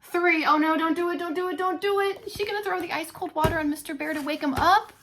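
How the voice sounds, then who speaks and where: A woman reading a storybook aloud to young children as a teacher, expressively, pausing to comment and ask questions. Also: very high-pitched, fairly even intonation, background noise. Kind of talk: animated read-along storytelling for young children.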